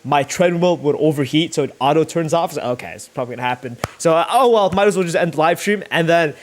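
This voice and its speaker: A man's voice: on the high side, fast talker, a little hiss or hum. A young man chatting casually and thinking aloud as he works, rambling in slangy, sweary language. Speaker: YouTuber livestreaming thumbnail making. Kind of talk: casual chat, thinking aloud while working.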